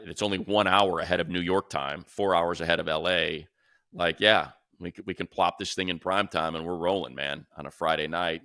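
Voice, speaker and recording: A man's voice: low-pitched, pushed and bright, dry recording, studio quality. An American man co-hosting a sports podcast, giving emphatic, slangy opinions in a casual way. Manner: emphatic, slangy, repeats phrases. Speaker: sports podcast co-host, announcer.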